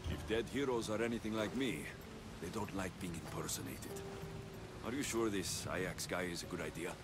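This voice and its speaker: An actor playing a young, cocky, wry mercenary hero of ancient Greece in a video game cutscene, speaking with confidence. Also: male voice, deep voice, normal speed, loud music behind the voice, close to an everyday voice. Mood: businesslike.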